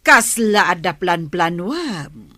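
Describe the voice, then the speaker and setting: A sharp, scolding wife. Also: female voice, lowish voice, big pitch swings, drawn-out words, no room sound, studio quality, full character voice. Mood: teasing. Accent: Ilocano. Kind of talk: Ilocano radio drama acting.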